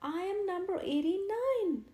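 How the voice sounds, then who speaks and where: A jolly, greedy bear, as a woman reader puts him on, shouts and exclaims with loud excitement. The voice is pitched very high but stays mellow in tone. He comes from a children's storybook read aloud. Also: unhurried. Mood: excited.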